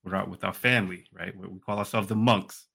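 A man co-hosting a podcast in casual conversation, emphatic, often repeating phrases and checking in with a quick "right?". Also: low voice, fairly even intonation, gravelly, pushed and bright, breathy edge. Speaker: podcast co-host.

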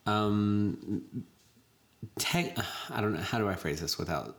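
A young woman in casual chat, lively and giggly, rambling loosely through her points. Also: rumbling low, takes it slowly.

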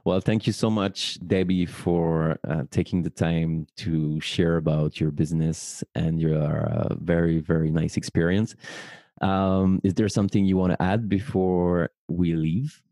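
A man's voice: deep voice, steady tone, a little slow, dark tone, dry recording, clean studio sound. A man hosting a podcast, polite and courteous, with short affirmations and hesitant uhs. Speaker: podcast host. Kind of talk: interview and show sign-off.

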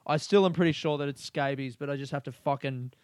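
A man's voice: on the high side, fast, smooth, no echo. A man hosting a podcast, rambling through a casual comedic monologue and swearing freely while he laughs at his own jokes. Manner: crude, swears a lot, laughs often; animated, rambling storytelling. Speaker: podcast host.

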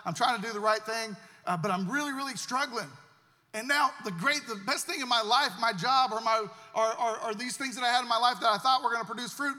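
A man's voice: very high-pitched, a little fast, pushed and bright, long reverb tail. A man preaching a sermon as pastor, earnest and repeating himself as he builds each point.

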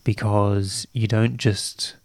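A man's voice: on the low side, level tone, slow pace, a little mellow, breathy edge, no echo. A man who sounds nasal from a cold, co-hosting a casual chat with dry, teasing humor. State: stuffy nose from a cold. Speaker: podcast co-host.

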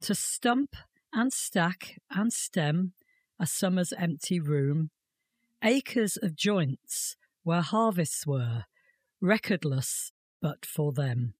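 A woman reciting poetry aloud as a volunteer reader, with measured, deliberate phrasing. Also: fairly low pitch, expressive, a little mellow, slightly rough, dry recording.

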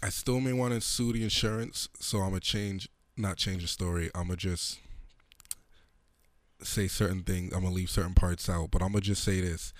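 Hoarse deep-voiced podcast host. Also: male voice, fairly even intonation, moderate pace, no echo. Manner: laid-back, slangy, profane. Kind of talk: casual podcast chat.

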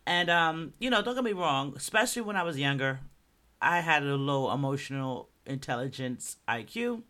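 Man, podcast host, casual solo commentary, somewhat high voice, bright tone, loose delivery. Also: very animated, normal speed. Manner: conversational, loose, asks "right?" often.

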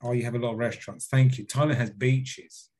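A man's voice: medium pitch, fairly even intonation, a little fast, darkish tone. A man co-hosting a podcast, reading out a listener email and then rambling, hedging and swearing freely in casual chat. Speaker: podcast co-host.

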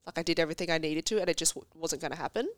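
A young woman with a congested cold, chatting as a podcast guest, self-deprecating and quick to tell stories. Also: sits low, dry recording, studio quality. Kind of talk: casual chat. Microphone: studio podcast mic.

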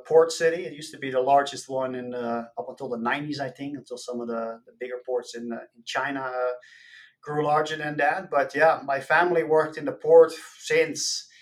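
Halting man being interviewed. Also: fairly high pitch. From the Netherlands. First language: Dutch. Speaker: podcast guest, nutrition professor. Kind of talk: casual explanatory interview talk.

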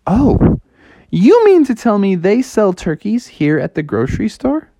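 A man's voice: medium pitch, lively intonation, normal speed, a little mellow, no echo, natural voice. A man voicing an eager, well-meaning frog hunter in a children's story, earnest and full of exclamation. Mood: amazed.

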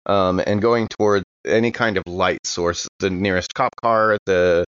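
A man's voice: deep voice, steady tone, measured pace, fairly bright tone, smooth, no echo, lo-fi sound. A man playing a tabletop game, casually roleplaying and describing his character's actions.

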